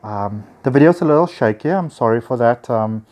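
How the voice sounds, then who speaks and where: A man's voice: mid-range voice, animated, a little fast, darkish tone. A man with a South African accent talks casually and effusively to viewers in an enthusiastic, chatty vlog, recorded on a handheld phone. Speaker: spiritual healer and teacher.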